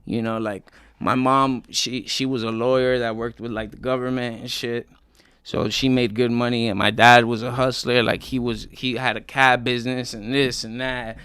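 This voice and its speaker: A young man from Colombia, a guest telling his life story in a relaxed, slangy way with many fillers. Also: medium pitch, moderate pace, smooth, no room sound. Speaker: interview guest, rapper. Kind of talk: casual interview talk.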